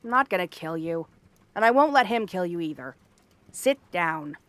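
Casting: female actor as cursed princess turned frog girl, cranky and theatrical, sharp-tongued but secretly kind, ASMR fantasy roleplay. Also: lowish voice, animated, moderate pace, no room sound. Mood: exasperated, softening. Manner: haughty, sardonic, theatrical tsundere delivery.

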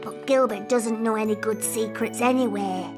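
A woman playing a bossy, excitable little girl princess in a cartoon, with a childlike voice and dramatic, emphatic delivery. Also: on the high side, normal speed, over music, natural voice. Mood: wistful, curious.

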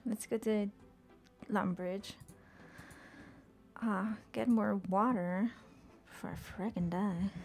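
Young woman streamer, low-key gameplay chatter; mellow, fry-edged, soothing, half-whispered asides. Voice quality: soothing soft voice. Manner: murmuring to herself, often dropping to a whisper. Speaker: game streamer. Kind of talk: casual gameplay commentary.

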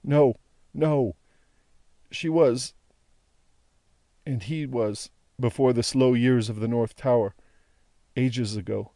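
A man voicing a bewildered, broken old prisoner in an audiobook, halting and repeating himself in wonder. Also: mid-range voice, mellow, dry recording. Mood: gloomy, yearning. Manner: halting, repetitive, wondering speech.

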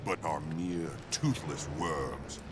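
Booming, very deep tyrant warlord. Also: male voice, very noisy. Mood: scornful, grandiose. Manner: slow, menacing, grandiose declamation. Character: towering tyrant emperor warlord, gloating and cruel. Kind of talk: video game cutscene acting. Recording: loud music over game dialogue.

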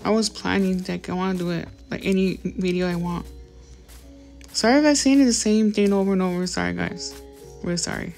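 Casual woman gamer narrating her own play, chatty and apologetic, loud music bed behind her. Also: medium pitch, a little fast, vocal fry. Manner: apologetic, rambling, many fillers. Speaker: gaming YouTuber. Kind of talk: casual gameplay commentary.

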